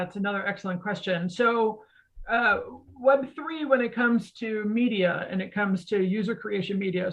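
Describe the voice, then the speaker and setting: A woman explaining technology conversationally as an expert guest, in a didactic way that often checks in with the listener. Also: medium pitch. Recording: dull, lo-fi audio.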